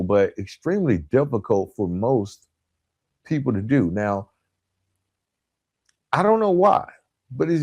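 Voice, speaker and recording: A man delivering a motivational self-help podcast talk as a coach, with emphatic, deliberate phrasing and frequent check-in tag questions. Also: medium pitch, a little mellow, no room sound. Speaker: podcast host and relationship coach.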